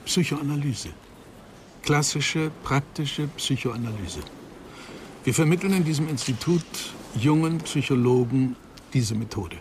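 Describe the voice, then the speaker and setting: An actor playing a dignified, discreet professor of psychoanalysis in a German radio crime drama, speaking carefully and formally. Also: male voice, medium pitch, expressive, a little slow, slight background noise. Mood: guarded, grave.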